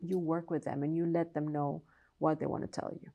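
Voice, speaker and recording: A woman's voice: low-pitched, level tone, a little fast, gravelly, mellow, muffled recording. A woman from Colombia with a Colombian Spanish accent, a professor answering interview questions in an earnest, explanatory way with frequent restarts. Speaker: professor and researcher, interview guest.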